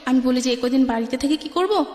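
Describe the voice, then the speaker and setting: A woman with a high voice and a Bangladeshi accent shares a personal story as a guest. She speaks colloquially, replaying conversations by quoting each side. The recording is very echoey, with a long reverb tail. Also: fast talker, a little mellow. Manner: recounts conversations by quoting both sides. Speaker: guest telling her life story.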